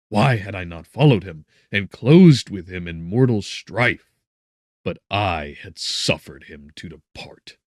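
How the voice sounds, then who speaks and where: A man narrating a gothic novel as an audiobook in a solemn, measured, theatrical manner. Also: low voice, no room sound, studio quality.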